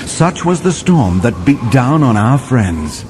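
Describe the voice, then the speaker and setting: A man's voice: mid-range voice, sing-song, slow pace, noisy background. A man playing a settler who recounts a winter adventure in vivid, dramatic children's story narration. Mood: dramatic, awed.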